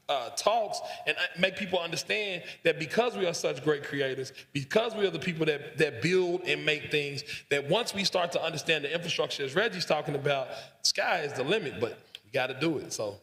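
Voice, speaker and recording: A man's voice: a little high, brisk, few pauses, fairly bright tone. A man speaking on a conference panel, emphatic and conversational, often repeating his points. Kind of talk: panel discussion remarks. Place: conference hall. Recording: echoey conference hall.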